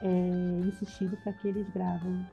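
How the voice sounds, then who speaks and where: A woman's voice: medium pitch, little pitch movement, moderate pace, vocal fry, dark tone, faint music behind. A Brazilian woman on a podcast panel, chatting casually with the group.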